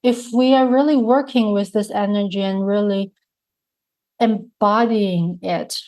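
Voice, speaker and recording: A woman with a Mandarin accent, a native Mandarin speaker, talking slowly and thoughtfully as a guest in an interview. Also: medium pitch, fairly even intonation, a little mellow. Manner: slow, thoughtful, searching for words. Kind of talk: reflective interview conversation.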